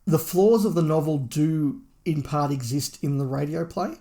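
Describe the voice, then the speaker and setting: A man's voice: fairly high pitch, normal speed, warm tone. A middle-aged man talking at length and with emphasis as a podcast co-host, in a casual review discussion.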